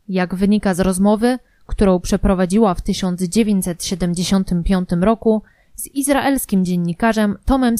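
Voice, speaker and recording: A woman reading scripted documentary narration in a formal, measured manner. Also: mid-range voice, mellow, dry recording.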